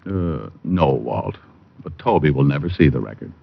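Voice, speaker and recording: A man playing a wry, smooth, teasing private detective in vintage radio drama style. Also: extremely low pitch, animated, normal speed, exaggerated voice. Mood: dry. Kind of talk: 1940s-50s radio drama acting. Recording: muffled vintage radio recording.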